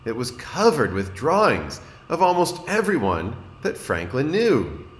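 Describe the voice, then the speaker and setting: A man, a kindergarten teacher, reading a storybook aloud to young children in an expressive, deliberate way and addressing his listeners. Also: mid-range voice. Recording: roomy echo, noticeable background noise.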